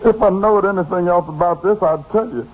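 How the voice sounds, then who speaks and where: Casting: man playing a folksy, pleading accused country fellow, high-pitched, on a muffled vintage radio crime show. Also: brisk, a little hiss or hum, close to an everyday voice. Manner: earnest, folksy, ungrammatical. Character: pleading, uneducated male murder suspect protesting innocence. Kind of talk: 1950s radio crime drama acting. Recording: muffled old radio recording.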